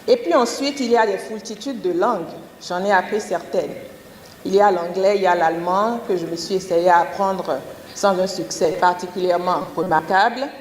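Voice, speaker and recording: A woman's voice: lowish voice, normal speed, slight background noise. Eloquent woman speaking in an echoey hall. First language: Gun. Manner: eloquent, wry and elaborate. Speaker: forum speaker. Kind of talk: formal speech. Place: conference hall.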